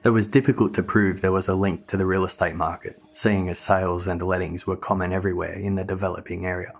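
A man reading scripted true crime narration in a measured, matter-of-fact way. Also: low-pitched, level tone, faint music behind.

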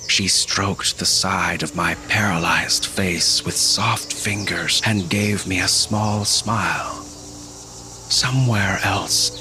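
Deep, slow, haunted husband narrating horror. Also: male voice, sharp tone, heavily acted. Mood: dread, helpless. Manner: deliberate, grave, suspenseful. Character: haunted husband recounting a supernatural horror, grim and shaken. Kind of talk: dramatic scripted narration. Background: music.

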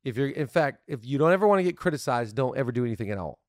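A man's voice: mid-range voice, lively intonation, a little mellow, no room sound, clean studio sound. Upbeat man hosting a podcast. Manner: upbeat, playful, quick to laugh. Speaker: podcast host. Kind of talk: casual podcast talk on leadership.

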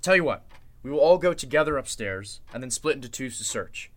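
Man acting a bright, forceful district attorney, radio mystery. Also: medium pitch, lively intonation, fairly quick, natural voice. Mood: commanding, reassuring. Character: confident, suspicious, sharp district attorney taking charge. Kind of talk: golden age radio drama acting.

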